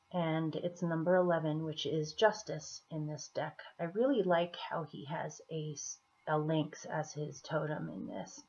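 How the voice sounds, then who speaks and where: A woman with a slightly hoarse, scratchy throat, giving a casual tarot reading in an unhurried, deliberate manner with pauses as she explains the cards. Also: deep voice, a little mellow. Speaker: tarot reader. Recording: dull, muffled lo-fi audio.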